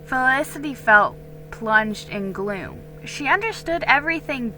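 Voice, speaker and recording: A woman's voice: high-pitched, animated, a little slow, bright, forceful tone, faint music behind, rough recording. A woman giving a dramatic narration of a storybook as its reader.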